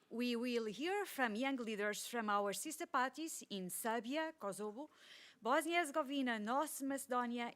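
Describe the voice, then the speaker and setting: Higher-pitched woman moderating a formal session. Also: a bit bright. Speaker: session moderator at a political conference. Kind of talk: formal conference introduction.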